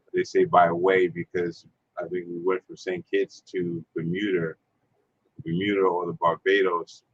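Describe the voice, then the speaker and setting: A man's voice: deep voice, a bit bright, muffled recording, slight background noise. A man hosting a casual chat, laid-back and fond of repeating his agreement. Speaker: host.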